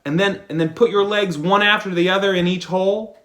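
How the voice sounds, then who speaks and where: A man's voice: sits high, fairly even intonation, a little fast, fairly bright tone, pitched above the performer's own voice. A man voicing a sensible young suitor from a fairy tale, emphatic and advising. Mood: helpful.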